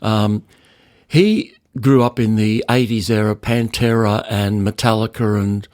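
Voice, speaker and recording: A man's voice: fairly low pitch, fairly even intonation, measured pace, a little mellow, dry recording, clean studio sound. An older man telling stories in a conversational interview, speaking emphatically and repeating words to stress them. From Australia. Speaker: loudspeaker designer, podcast guest.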